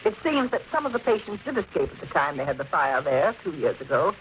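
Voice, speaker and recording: A woman's voice: low voice, very animated, fast talker. An actress playing a grave, worried middle-aged mother, speaking hesitantly in 1940s radio style. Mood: grave, troubled. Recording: dull, faintly noisy 1940s radio recording.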